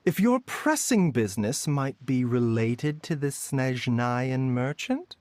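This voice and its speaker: A man voicing a soft-spoken, polite, enigmatic male doctor in a video game, gentle and courteous. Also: mid-range voice, animated, takes it slowly, no room sound. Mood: courteous, probing. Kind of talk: game voice acting.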